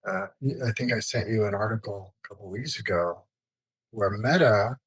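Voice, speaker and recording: A man's voice: fairly low pitch, moderate pace, breathy edge, dry recording, poor audio. A man speaking as an expert interview guest, explaining informally and listing points in a conversational way.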